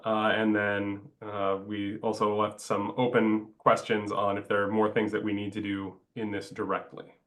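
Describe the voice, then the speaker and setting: Low-voiced man with a stuffy cold. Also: monotone, a bit bright. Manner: halting, many "uh" fillers. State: congested, stuffed up. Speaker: project presenter. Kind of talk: webinar slide presentation.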